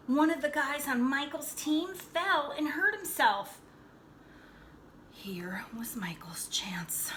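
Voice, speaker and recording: Woman, bright voice, unhurried, animated storybook read-aloud, teacherly asides, slight background noise. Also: mid-range voice. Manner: animated, dramatic delivery that explains things to young listeners. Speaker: teacher reading a picture book aloud to children.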